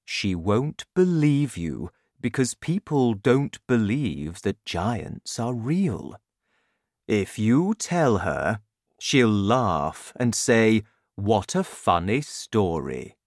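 A woman voicing a gentle, gloomy male giant in a children's story, talking in a slow, sad, matter-of-fact way. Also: rumbling low, sing-song, no room sound, studio quality, exaggerated voice. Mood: doubtful.

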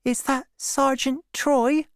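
A woman's voice: sits high, slow, clean tone, no room sound, clean studio sound, voice pushed up. A woman reading a storybook as an anxious, lovesick young woman with a shaking, pleading delivery. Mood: nervous, hopeful.

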